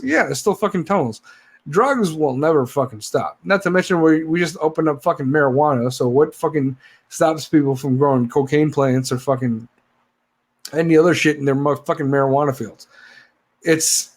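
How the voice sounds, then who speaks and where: A man in his late thirties hosts a casual live stream, swearing constantly as he rants. His voice sits a little higher than most men's. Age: 30s. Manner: profane, heated rant. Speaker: podcast host.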